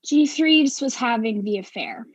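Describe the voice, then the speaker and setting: A woman acting an exasperated, sharp-tongued professional in a darkly comic mystery play, arguing with precise sarcasm. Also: fairly high pitch, lively intonation, unhurried, dry recording, muffled recording. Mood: exasperated, confessing.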